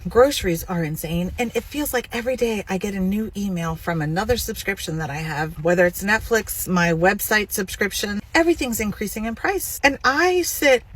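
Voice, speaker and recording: Earnest woman with a husky edge. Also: medium pitch, lively intonation, normal speed, few pauses, fairly bright tone, low-quality recording. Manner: earnest, impassioned, plain-spoken. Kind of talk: candid emotional monologue to camera about personal finances.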